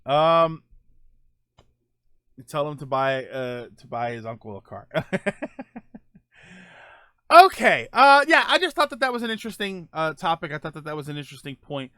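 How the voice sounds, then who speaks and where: A man's voice: fairly high pitch, very animated, moderate pace, pauses often, bright, forceful tone, smooth, no echo, clean studio sound. A man hosting a casual podcast, reading viewer topics and tweets aloud with many ums and restarts. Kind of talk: casual solo podcast chat.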